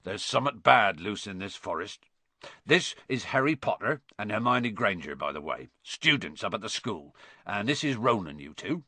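A man voicing a big, gruff, protective gamekeeper in a storybook, with blunt rustic grammar and a wary manner. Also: fairly low pitch, animated, normal speed, bright, forceful tone, dry recording, heavily acted. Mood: relieved, impatient. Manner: dialect grammar, urgent warnings, blunt.

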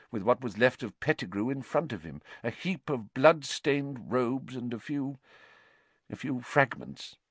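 A man voicing a pompous, grave, fussy middle-aged government minister in a storybook, telling things in a measured way. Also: medium pitch, fairly bright tone, dry recording, pitched above the performer's own voice.

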